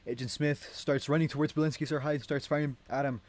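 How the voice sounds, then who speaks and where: A man's voice: on the high side, steady tone, fast. A young adult man narrating a script in an informal, amused way, often interrupting himself. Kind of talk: casual script read-along.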